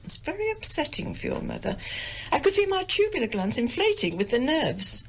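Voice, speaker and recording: A woman's voice: high-pitched, big pitch swings, brisk, rough recording. An actress playing a domineering, self-pitying older English village mother in a radio drama, haughty and querulous. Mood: self-pitying, reproachful. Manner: querulous, haughty, melodramatically aggrieved.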